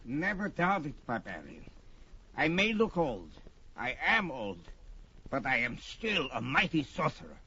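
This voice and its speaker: An actor playing an old, boastful, easily indignant sorcerer in a theatrical, emphatic manner on an audio drama record. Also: male voice, on the high side, big pitch swings, measured pace, sharp tone, a little hiss or hum, heavily acted. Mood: boastful, crafty. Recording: dull vintage story record.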